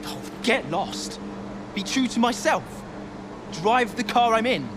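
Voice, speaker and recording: An actor playing a proud, defensive young racing driver in a game cutscene, indignant and sarcastic. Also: male voice, very high voice, expressive, slow pace, very noisy, heavily acted. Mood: indignant, hurt. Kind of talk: video game cutscene acting.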